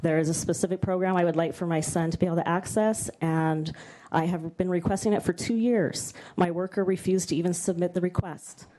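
A woman, a parent, giving an earnest public comment at a hearing, steady with a few pauses. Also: on the low side, low-quality recording. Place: state hearing room.

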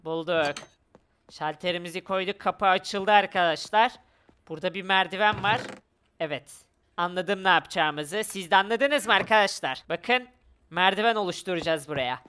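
A woman doing excited gameplay commentary, exclaiming and talking viewers through each action as she goes. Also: fairly low pitch, normal speed, slight background noise. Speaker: gaming video creator.

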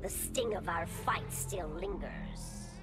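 A woman's voice: fairly low pitch, slow, music in the background. A woman voicing a cold, predatory female insect creature in a video game, with a menacing, formal manner. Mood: cold disdain.

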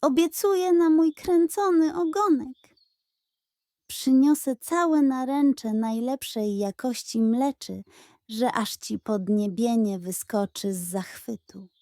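Woman voicing a high-pitched, scheming little pug. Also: slow, mellow, no echo, clean studio sound. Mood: grandiose pleading. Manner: pleading, dramatic, sweet-talking. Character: scheming, gluttonous, theatrical pug dog. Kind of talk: children's audio fairy tale.

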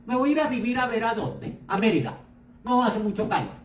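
A woman's voice: fairly high pitch, sing-song, fairly quick. A woman with a Mexican accent, a psychic giving blunt, outspoken advice in a casual live chat. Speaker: psychic and tarot reader. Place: home room, indoors. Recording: echoey room, far from mic.

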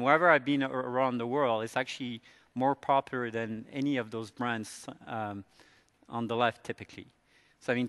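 A man's voice: mid-range voice, fairly bright tone, in a large hall. A man from France with an American accent, giving a conference presentation in a casual, conversational way. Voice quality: fillers and restarts, conversational. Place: large conference hall.

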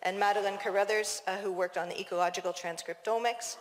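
A woman from Toronto with a Canadian accent, giving an academic conference talk in a measured, hesitant way in a large auditorium. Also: medium pitch, fairly even intonation. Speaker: scientist presenting at a conference. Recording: reverberant auditorium, long hall echo.

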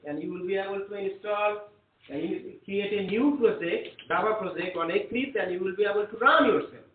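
High-voiced Bengali man lecturing in an echoey room. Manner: repeats words, earnest and encouraging. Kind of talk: classroom lecture. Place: classroom. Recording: echoey room, tinny phone-like sound.

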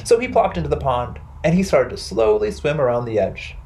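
A young man hosting a children's storytime, narrating playfully with deliberate phrasing. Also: mid-range voice, sing-song, a little mellow, a little hiss or hum. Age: young adult. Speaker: library storytime host.

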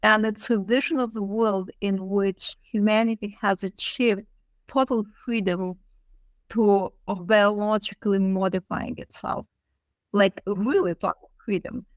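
Female academic interviewee. Mid-pitched, thoughtful and emphatic, explaining off the cuff, with a dry, echo-free sound. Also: fairly even intonation, unhurried. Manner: thoughtful, emphatic, repeats key phrases. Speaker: interviewed literature professor.

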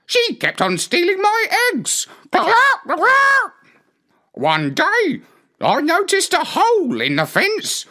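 Man putting on a high, clucking fussy hen. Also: wildly swooping pitch, slow pace, sharp tone, heavily acted. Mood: indignant, chatty. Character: prim, wary, chatty female hen.

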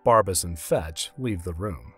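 A man's voice: sits low, measured pace, a bit bright, slightly rough, soft background music. A man reading scripted narration for a fantasy audio drama.

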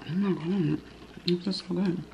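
A woman's voice: on the low side, steady tone, moderate pace, vocal fry, highs cut off, background noise. A woman in her thirties chatting casually to her viewers while eating at home, calling them y'all. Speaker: vlogger. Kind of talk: casual eating vlog chat. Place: home living room, indoors.

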